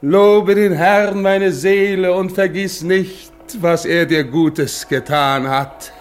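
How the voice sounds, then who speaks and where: An actor playing an exhausted, solemn polar expedition leader, reminiscing haltingly in a radio drama. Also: male voice, high-pitched. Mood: fervent, exalted. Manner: halting, brooding, incantatory. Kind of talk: German radio play acting, dramatic monologue.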